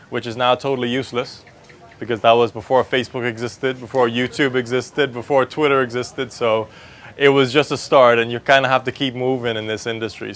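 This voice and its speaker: A man in his thirties from the Bahamas, presenting a talk in a relaxed, conversational way into a handheld mic in an open-air pavilion. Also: medium pitch. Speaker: speaker, digital marketing consultant. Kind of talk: conference talk.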